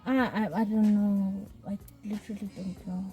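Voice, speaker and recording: A soft-spoken woman from South Africa, a guest author talking casually with hesitant restarts. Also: mid-range voice, steady tone, normal speed, faint music behind. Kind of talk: casual chat.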